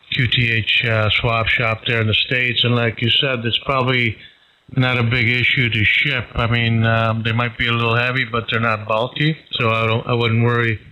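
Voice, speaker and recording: A man chatting casually over amateur radio, halting and hesitant as he goes. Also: mid-range voice. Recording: dull band-limited radio transmission.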